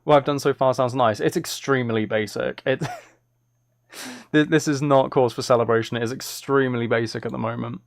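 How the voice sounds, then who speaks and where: Guy streamer thinking out loud, bit of fry in his voice, really dry sound, kinda self-deprecating. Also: medium pitch, steady tone, normal speed, dry recording. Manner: self-deprecating, musing aloud. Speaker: streamer making music live. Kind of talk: casual chat while working.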